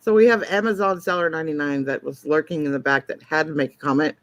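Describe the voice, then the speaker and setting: A woman's voice: sits low, steady tone, quick pace, dry recording, muffled recording. A woman hosting a casual late-night livestream, chatty, telling stories and reacting to chat. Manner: chatty storyteller, reads out chat comments. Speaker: livestream host.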